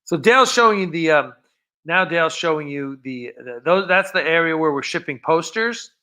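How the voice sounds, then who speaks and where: A man's voice: fairly high pitch, lively intonation, moderate pace, pushed and bright. A man hosting a fan livestream, chatting casually and answering viewer questions in an informal, rambling way. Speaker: host of a fan film livestream.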